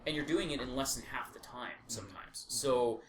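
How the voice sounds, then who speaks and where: A man's voice: medium pitch, normal speed. Male podcast co-host, opinionated and explanatory, casual and a bit sweary, roomy echoing sound. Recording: echoey room with faint background noise.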